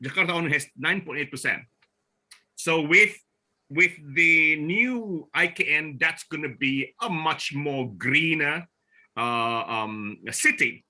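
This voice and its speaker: Man, from Borneo, forum presenter, very bright tense tone, slightly high, halting with restarts, video call. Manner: halting, with frequent restarts and fillers. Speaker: forum speaker presenting slides. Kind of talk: online presentation talk.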